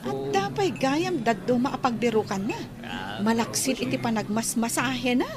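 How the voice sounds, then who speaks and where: A woman's voice: fairly high pitch, lively intonation, moderate pace, music in the background, natural voice. A woman playing a friendly, eager young nurse in an audio drama, with a chirpy, sociable manner. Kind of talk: Ilocano audio drama acting.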